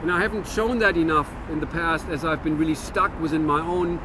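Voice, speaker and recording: A man's voice: fairly high pitch, fairly even intonation, brisk, noisy background. A man in his fifties from Germany with a German-American accent, musing earnestly to camera in a reflective monologue with frequent restarts. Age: 50s. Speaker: vlogger.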